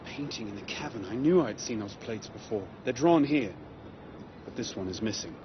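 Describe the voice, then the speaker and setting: An actor playing a brusque, sly but protective adventurer in a video game cutscene. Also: male voice, mid-range voice, lively intonation, normal speed, lightly acted. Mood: matter-of-fact. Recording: muffled game audio, clear background noise.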